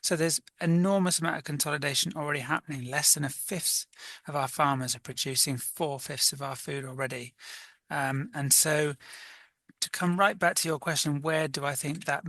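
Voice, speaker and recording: Bright, dry-sounding man, thoughtful. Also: a little high, steady tone, no echo. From Wales. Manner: thoughtful, measured, with frequent fillers. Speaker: magazine editor. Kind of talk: interview answers.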